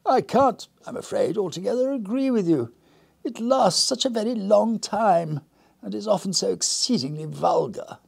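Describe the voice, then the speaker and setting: A man voicing a large, fussy older lady with a husky little voice who speaks faintly and hesitantly. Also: high voice, animated, normal speed, voice pushed up, heavily acted. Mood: prim.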